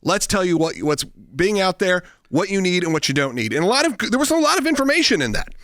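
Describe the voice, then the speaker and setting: An American man delivers political commentary in a conversational way. His voice sits high for a man, and the sound is dry, with very little room in it. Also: sing-song, fast, a bit bright, no room sound. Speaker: talk show host.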